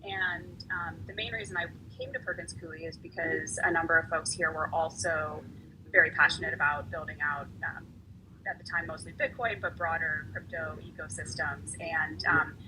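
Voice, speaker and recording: A woman's voice: deep voice, steady tone, moderate pace. A woman lawyer speaking as a guest on a panel, telling her story in a chatty way and often repeating words as she goes. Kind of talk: casual panel conversation. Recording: noisy, hissy recording.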